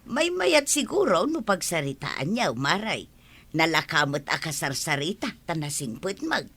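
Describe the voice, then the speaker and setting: A woman's voice: deep voice, very animated, moderate pace, fairly bright tone, husky, heavily acted. A woman with an Ilocano accent playing a talkative, good-natured village woman in a radio comedy drama. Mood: gossipy.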